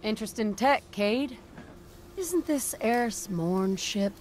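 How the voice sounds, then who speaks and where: An actress playing a brisk young female mechanic, wry and teasing, in a game cutscene. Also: on the high side, expressive, darkish tone, slight background noise. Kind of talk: video game cutscene acting.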